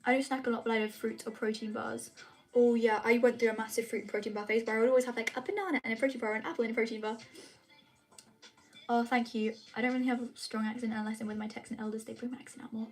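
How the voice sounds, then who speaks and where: Young woman, casual live chat, rambling, many fillers, slightly high voice, faint music. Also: steady tone, fast. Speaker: vlogger answering chat.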